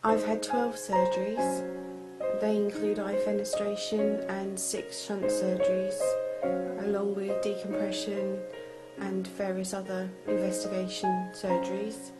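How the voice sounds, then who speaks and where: A woman's voice: medium pitch, flat delivery, unhurried. A woman giving factual personal testimony about herself. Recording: loud music behind the voice.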